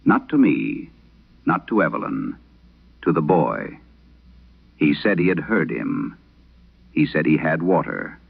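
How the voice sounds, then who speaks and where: Man playing a very deep, grave old priest. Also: sing-song, vocal fry, breathy edge, narrow, tinny audio, heavily acted. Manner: grave, measured, dramatic pauses. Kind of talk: solemn first-person horror radio storytelling. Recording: band-limited vintage radio sound.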